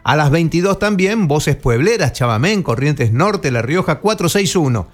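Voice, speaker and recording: Argentine male radio host, slightly high voice, brisk, jokey listings delivery. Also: animated. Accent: Argentine Spanish. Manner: brisk listings read-out with jokes. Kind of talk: casual radio chat.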